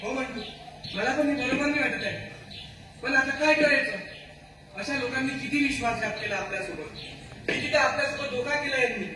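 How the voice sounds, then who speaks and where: A man's voice: very high-pitched, fairly quick, pauses often. A man playing a stern, distrustful forest tribesman in an amateur stage play, declaiming reproachful questions. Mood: bitter, distrustful. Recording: very echoey noisy hall, far from mic.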